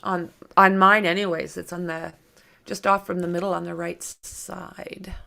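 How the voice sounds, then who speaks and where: A woman with a creaky voice hosting a true crime livestream, reading aloud and chatting informally with viewers as she thinks aloud. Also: lowish voice, little pitch movement, normal speed, pushed and bright. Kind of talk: reading documents aloud with casual asides to viewers.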